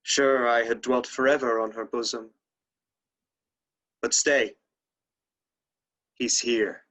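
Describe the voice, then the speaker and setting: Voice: mid-range voice, fairly even intonation, slow, a bit bright. Proud, imperious young nobleman acted out. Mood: languishing. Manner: formal, imperious. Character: proud, domineering young nobleman, stern brother in period comedy. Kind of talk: theatrical Restoration play acting.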